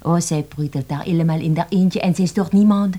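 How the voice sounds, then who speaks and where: An actress playing a chatty, unflappable young French cook in a radio play, with a French accent and cheerful talk peppered with French words. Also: female voice, fairly low pitch, a little fast, warm tone, close to an everyday voice. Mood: protesting, chatty.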